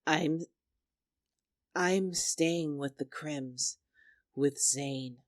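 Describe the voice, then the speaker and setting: A woman's voice: low-pitched, very slow, no room sound, studio quality. A woman playing an earnest young woman in a dramatic argument, anxious but stubborn, pleading and defensive. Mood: quietly stubborn. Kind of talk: dramatic two-character argument performance.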